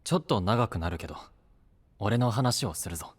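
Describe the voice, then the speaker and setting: An actor playing a brash, rough-talking young knight and younger brother in an anime-style voice drama. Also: male voice, lowish voice, lively intonation, moderate pace, in a large hall. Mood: confessional, pained. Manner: blunt, rough masculine speech.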